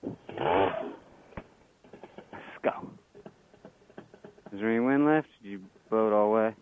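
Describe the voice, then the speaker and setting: A man's voice: fairly low pitch, a little slow, clean tone, narrow, tinny audio. A man chatting casually and slangily, like a laid-back bro, over a paramotor pilot's intercom headset. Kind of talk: casual chat while flying. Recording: headset intercom with music behind.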